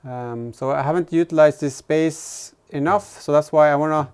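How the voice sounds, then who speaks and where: A man, probably in his thirties, giving a casual hands-on workshop narration in a halting way as he thinks aloud while working. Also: fairly high pitch, a little mellow, clean tone. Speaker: host of a DIY vehicle build vlog. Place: indoor garage workshop, in and around an open car.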